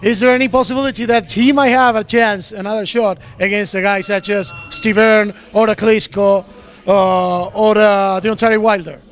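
Middle-aged male interviewer, formal questions, higher voice, faint music. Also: fairly even intonation, normal speed. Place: large boxing gym, indoors. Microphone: handheld interview mic.

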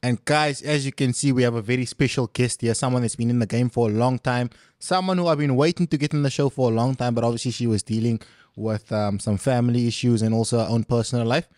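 Brief: young man, 20s, quick-talking upbeat podcast host, dry close mic. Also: mid-range voice, fairly even intonation, darkish tone, no room sound. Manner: upbeat, friendly, quick questions. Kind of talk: casual podcast interview. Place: small studio set with sofa. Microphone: boom mic on stand.

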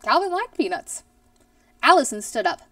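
A woman in her thirties reading a children's story aloud expressively, in a deliberate storyteller's manner. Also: sits high, very animated, pushed and bright, dry recording, recorded from a distance. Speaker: teacher reading aloud to pupils. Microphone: headset boom mic.

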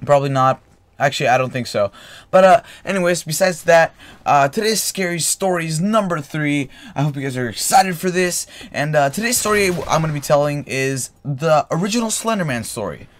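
A man's voice: on the high side, expressive, moderate pace. A young man with a cold, casually telling a story while rambling with fillers and correcting himself. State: getting a cold, clears throat. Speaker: gaming channel host. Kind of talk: casual storytelling over gameplay. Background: faint game gunfire.

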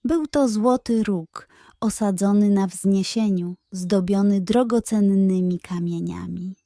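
A woman's voice: mid-range voice, slow, warm tone, no room sound, studio quality. A woman narrating a scripted children's story as the storyteller.

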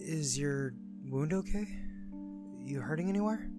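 Slow-speaking, weary male survivor, acted by a man. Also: a little high, animated, loud music behind the voice. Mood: concerned. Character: weary, guilt-ridden male survivor of a fallout. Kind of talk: animated film acting.

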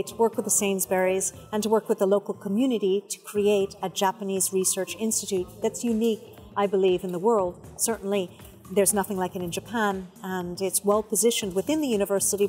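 A woman's voice: medium pitch, darkish tone. A middle-aged woman, a curator, explaining her work in an on-camera interview. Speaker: exhibition curator and research director. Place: indoors in a museum exhibition gallery.

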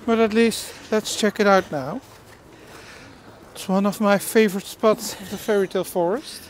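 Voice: mid-range voice, fairly even intonation, normal speed, slight background noise, poor audio. A vlogger talking in casual, conversational style while out walking. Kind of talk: casual walking vlog chat. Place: outdoors walking in a snowy theme park.

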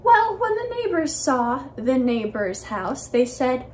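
A young woman narrating a storytime for young children in an expressive, deliberate way, recorded in a living room by a camera a few feet away. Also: high voice. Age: young adult. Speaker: library storyteller.

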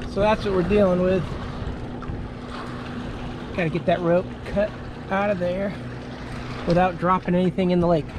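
A man's voice: sits high, level tone, moderate pace, long pauses. A man casually narrating a do-it-yourself jet ski repair, counting aloud as he works, recorded outdoors on a lake dock with an action camera. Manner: matter-of-fact, counting aloud while working. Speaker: DIY video host. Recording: noisy outdoor action-cam audio. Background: water and wind.

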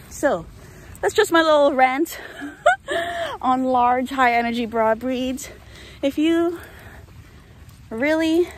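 A young woman with a slightly high voice chats and rants while walking her dog across an open field. She holds her phone at arm's length, and the outdoor recording carries a faint hiss of rain and open air. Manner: chatty, ranting, laughs often. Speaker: dog trainer vlogger. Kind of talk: walk-and-talk vlog. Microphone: phone held at arm's length.

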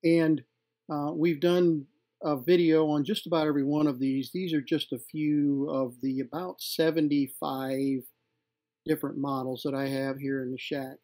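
A middle-aged man presenting from home, showing vintage gear in an unscripted, halting, informal and appreciative way. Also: on the high side, level tone, mellow. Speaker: vintage tape recorder collector and presenter. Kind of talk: unscripted hands-on demonstration.

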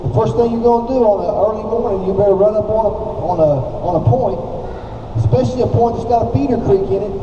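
An older man from Oklahoma, a folksy, emphatic pro angler giving a live seminar and often checking in with his listeners. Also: high voice. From Oklahoma, United States. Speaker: professional angler giving a demonstration. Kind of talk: live fishing seminar to an audience. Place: large indoor exhibition hall. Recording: echoey hall from far off, dull, noisy.

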